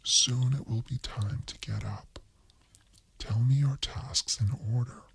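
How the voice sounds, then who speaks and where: A prim, domineering landlady from a radio play, whispered by a man. The voice is breathy and gravelly, issuing fussy commands in measured phrases. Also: mid-range voice, steady tone, exaggerated voice. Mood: prim, bossy. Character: fussy, domineering widowed landlady obsessed with cleanliness.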